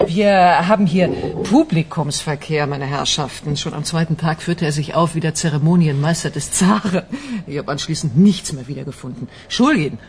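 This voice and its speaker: A woman's voice: deep voice, moderate pace, darkish tone, a little hiss or hum. Chatty defensive office lady in her middle years, radio crime play, bit of background ambience. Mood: amused gossip. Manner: chatty, mocking, indignant when pressed. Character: talkative, defensive female office worker under police suspicion. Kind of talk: radio crime drama dialogue.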